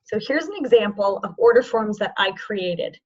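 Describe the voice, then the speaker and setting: A woman training sales representatives in an online session, informal and encouraging, with a voice that is going hoarse. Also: mid-range voice, animated, normal speed, darkish tone, highs cut off. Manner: informal and encouraging, checks in with "okay?". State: losing her voice, hoarse.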